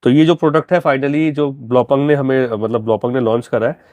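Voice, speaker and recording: A man's voice: medium pitch, steady tone, a little fast, a little mellow, dry recording. A man explaining products conversationally as a dealer and installer. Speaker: car audio dealer and installer.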